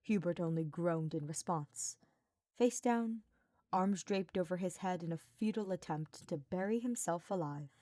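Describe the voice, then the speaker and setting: A woman's voice: fairly low pitch, normal speed, dark tone, no room sound, studio quality. A woman reading a fantasy story as scripted audiobook narration. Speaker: podfic reader.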